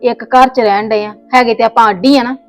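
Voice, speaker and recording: A woman's voice: fairly high pitch, fairly even intonation, a little fast, soft background music, lightly acted. A woman playing a stubborn young wife in a family skit, scolding and insistent. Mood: insistent, heated. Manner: scolding, insistent, voice rising in argument. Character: young married woman, stubborn, quick to argue. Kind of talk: scripted family comedy skit.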